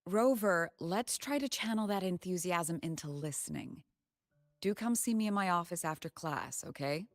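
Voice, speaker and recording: An actress playing a composed, authoritative professor in a video game, speaking in a measured, courteous way. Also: female voice, on the low side, lively intonation, no room sound, studio quality, natural voice. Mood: gently firm. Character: composed, authoritative female professor and academy president. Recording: music under the voice.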